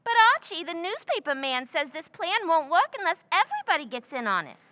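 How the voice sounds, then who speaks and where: A woman's voice: very high-pitched, very animated, a little fast, bright, forceful tone, in a large hall, dull audio, full character voice. An actress playing a brash, outspoken young woman in a 1940s-style radio comedy. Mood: blunt.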